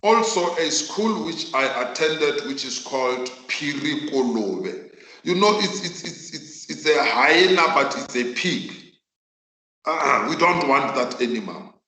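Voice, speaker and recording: Slow, bright-voiced South African male teacher. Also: a little high, lively intonation. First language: Northern Sotho. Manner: emphatic, deliberate, repeats phrases for effect. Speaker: accounting teacher. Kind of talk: virtual class lecture. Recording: echoey room.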